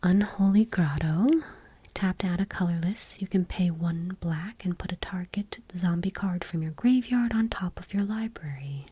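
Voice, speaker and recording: Breathy whispering woman, soft ASMR reading. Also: fairly low pitch, no room sound. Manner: gentle, unhurried, hushed reading item by item. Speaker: ASMR video host.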